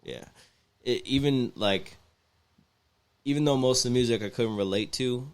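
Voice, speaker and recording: A man's voice: medium pitch, normal speed, clean tone. A man in his twenties, a rapper answering interview questions in an easygoing way, full of fillers. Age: 20s. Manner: easygoing, filler-heavy, chuckling. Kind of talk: casual interview talk.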